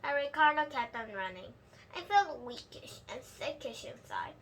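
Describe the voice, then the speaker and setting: Female storybook reader: high-pitched, bright, expressive, noticeable background noise. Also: normal speed. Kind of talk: expressive children's book read-aloud.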